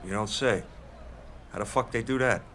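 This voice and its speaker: Gruff, lowish-voiced cop. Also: male voice, normal speed, pushed and bright, a little hiss or hum. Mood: weary, blunt. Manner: blunt, offhand, profane. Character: gruff, world-weary male police colleague. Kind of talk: modern audio drama acting.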